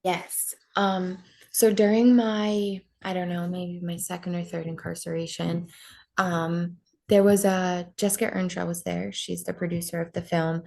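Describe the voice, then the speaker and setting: A woman's voice: fairly low pitch, flat delivery, measured pace, gravelly, darkish tone. A young woman from Maine telling her life story in an interview, candid, with many ums and likes. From Maine, USA. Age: 20s. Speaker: podcast guest. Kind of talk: personal interview talk.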